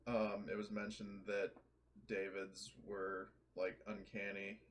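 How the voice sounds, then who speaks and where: A man's voice: fairly low pitch, monotone, slow, slight background noise. A man chatting casually as a player in a tabletop roleplaying game.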